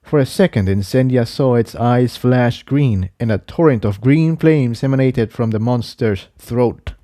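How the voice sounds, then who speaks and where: Man narrating adventure fiction, mellow, dry sound. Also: mid-range voice, unhurried, no room sound. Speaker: narrator reading a fan fiction adventure story. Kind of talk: scripted narration, audiobook-style story reading.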